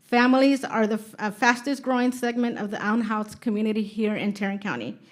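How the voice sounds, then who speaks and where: Female public commenter, fairly high voice, delivering a prepared statement at a government hearing. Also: fairly quick. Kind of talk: prepared public testimony, read out. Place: public hearing room in a courthouse.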